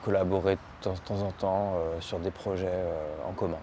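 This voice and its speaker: Low-voiced Frenchman, hesitant speech. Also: steady tone, normal speed, warm tone, a little hiss or hum. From Lyon, France. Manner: hesitant, searching for words with repeats. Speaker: muralist painter. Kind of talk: interview talk about own work.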